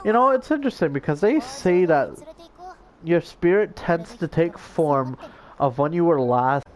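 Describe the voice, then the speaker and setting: A man's voice: on the high side, expressive, measured pace, a little mellow. A young man giving casual, rambling game commentary as a streamer, swearing freely and talking in slang. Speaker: gaming streamer.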